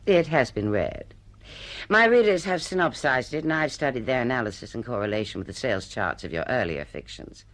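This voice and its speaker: An actress playing a ruthless, brash publishing executive in a radio drama, blunt, domineering and full of business jargon. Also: female voice, extremely low pitch, expressive, brisk. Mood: coolly dismissive.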